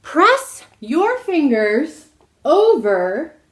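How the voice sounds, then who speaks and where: A woman voicing a helpful, playful ghost in a children's storybook, giving drawn-out, emphatic commands. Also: sits high, sing-song, voice pushed up, full character voice. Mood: coaxing, instructing.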